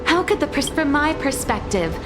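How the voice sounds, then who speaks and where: An actress voicing a deferential young maid with a treacherous streak, in an anime-style video game. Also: female voice, sits high, very animated, moderate pace. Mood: coldly resolute. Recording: loud music behind.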